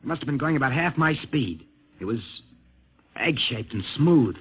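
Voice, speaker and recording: An actor playing a shaken, insistent male rocket test pilot in a 1950s radio drama, speaking urgently and haltingly. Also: medium pitch, lively intonation, husky. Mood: earnest, haunted. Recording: muffled old 1950 radio broadcast recording.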